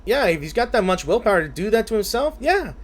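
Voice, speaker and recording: A man's voice: high voice, very animated, fast talker, a little hiss or hum. A man giving casual, reactive let's play commentary, often muttering to himself as he plays. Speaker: gaming streamer.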